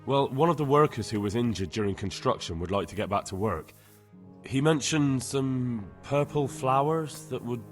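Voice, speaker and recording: An actor playing an earnest, helpful young medieval villager in a video game, speaking plainly. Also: male voice, medium pitch, moderate pace, soft background music, close to an everyday voice. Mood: earnest, asking. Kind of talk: video game cutscene dialogue.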